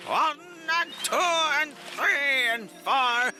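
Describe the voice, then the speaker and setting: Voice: high voice, animated, takes it slowly, pushed and bright, faint music behind, full character voice. An actor playing a gleeful, taunting prankster sailor in an audio drama, teasing in sing-song rhymes.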